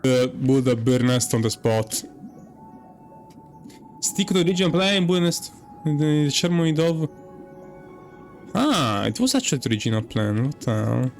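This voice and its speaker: A man giving casual, halting commentary as he plays a game, thinking aloud and repeating himself. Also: medium pitch, big pitch swings, soft background music. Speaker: gaming streamer.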